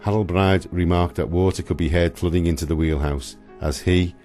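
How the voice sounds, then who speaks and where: A man's voice: low voice, steady tone, normal speed, darkish tone, faint music behind. A man giving dramatic scripted narration for a historical radio play.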